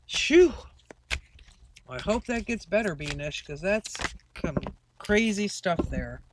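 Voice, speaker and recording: A woman's voice: mid-range voice, big pitch swings, slow, background noise. A woman doing a casual live-stream tarot reading, chatty and rambling with many fillers. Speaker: tarot reader.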